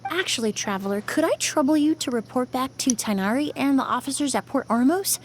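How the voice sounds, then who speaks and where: An actress plays a thoughtful young woman of science in a video game. The voice is fairly high, calm and precise, measured in phrasing, with some noise behind it. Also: close to an everyday voice. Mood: concerned. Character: composed, thoughtful young woman perfumer and forensic cleaner.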